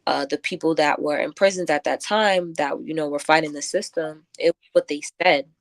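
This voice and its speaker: Low voiced woman poet on a radio interview, kinda halting n thoughtful, dry close sound. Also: steady tone, dry recording. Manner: thoughtful, halting, many filler likes. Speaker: guest poet and lyricist. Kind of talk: reflective radio interview answers. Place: close-miked radio show.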